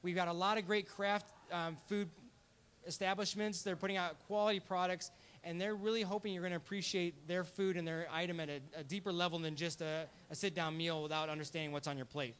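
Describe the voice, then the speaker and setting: A man's voice: high voice, fairly even intonation, fairly quick, a bit bright. Friendly man hosting a beer pairing. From Southern California. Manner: friendly, explanatory, some restarts. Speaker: host. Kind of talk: casual live tasting talk.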